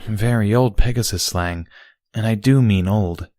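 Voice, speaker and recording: A man's voice: fairly low pitch, unhurried, a little mellow, no room sound, clean studio sound, natural voice. A man voicing a miserable, ashamed female pegasus in an audio story, with a tight, gritty voice.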